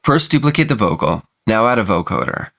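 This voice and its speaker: A man's voice: lowish voice, very animated, a little fast, creaky, fairly bright tone. A man narrating a scripted music production tutorial, walking through each step in order. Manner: explanatory, step-by-step.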